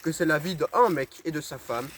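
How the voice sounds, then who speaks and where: A man's voice: a little high, normal speed, a bit bright. A young man explaining history in a mock-educational comedy sketch, in a crude, swearing, schoolmasterly way. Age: teens or young adult. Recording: homemade video with faint noise.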